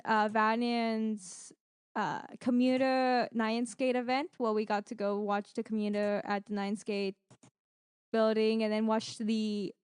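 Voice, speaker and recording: High, clear-voiced woman chatting casually. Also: dry recording, studio quality. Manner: rambling, animated retelling with acted-out quotes. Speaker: podcast co-host. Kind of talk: casual chat about gaming streams and giveaways.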